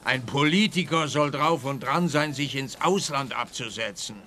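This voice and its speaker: A man playing a harried, dismissive police officer in a radio detective comedy, brisk and emphatic. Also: mid-range voice, sharp tone, natural voice. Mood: harried, defensive. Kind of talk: scripted radio play dialogue.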